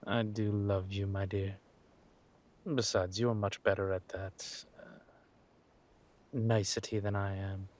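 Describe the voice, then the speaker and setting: A man playing a suave, ruthless villain king in an audio roleplay, murmuring affectionately to his wife with halting, teasing hums. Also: on the low side, flat delivery, a little mellow. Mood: tender, smug. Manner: slow, halting, purring hums and teasing drawl. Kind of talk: villain roleplay audio drama, intimate monologue to a partner.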